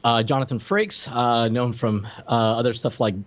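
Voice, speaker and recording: A man's voice: mid-range voice, normal speed, very noisy. Wry male podcast co-host, slightly muffled. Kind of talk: casual chat about film and television.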